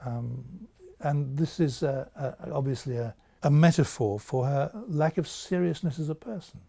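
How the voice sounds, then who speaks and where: A man's voice: a little high, normal speed, creaky, dark tone. A man speaking as an author in an interview, reflecting thoughtfully with a storyteller's careful phrasing.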